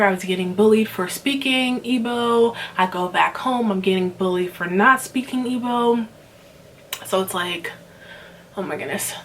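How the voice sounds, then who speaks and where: A woman's voice: mid-range voice, fairly bright tone, a little hiss or hum. A woman in her twenties from Nigeria, ranting casually to camera with lots of fillers and restarts. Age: 20s. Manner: rambling, many fillers and restarts. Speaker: vlogger.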